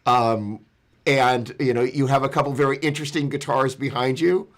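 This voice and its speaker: Halting male video call host. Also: medium pitch, steady tone, a bit bright. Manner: loose, hesitant, stops to think mid-sentence. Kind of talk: hosting a video call panel.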